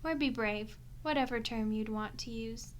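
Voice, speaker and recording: A woman's voice: on the high side, moderate pace, slight background noise, close to an everyday voice. A woman playing a sardonic, teasing, centuries-old female vampire in an ASMR audio roleplay, sweary and unrepentantly bloodthirsty. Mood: grudgingly tender.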